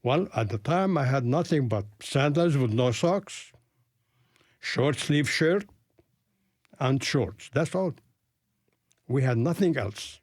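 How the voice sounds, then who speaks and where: A man in his eighties with an Arabic accent, from Palestine and raised in Lebanon, recounting his life in an interview in a deliberate storytelling manner. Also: mid-range voice, lively intonation, dry recording, clean studio sound. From Palestine, grew up in Lebanon. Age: 80s.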